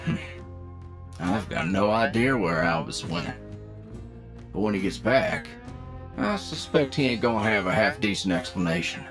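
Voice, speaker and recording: A man's voice: fairly low pitch, very animated, unhurried, gravelly, pauses often, heavily acted. A man playing a wry, talkative bounty hunter team leader in an anime-style audio roleplay, with a casual, folksy manner. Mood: explaining. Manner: casual, folksy, hesitant asides. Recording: music behind the voice.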